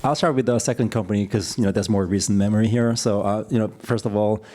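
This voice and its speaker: Founder guy from korea on a panel, lowish warm voice, lots of uh and you know. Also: steady tone. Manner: halting, many fillers, earnest advice. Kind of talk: conference panel talk. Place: conference stage.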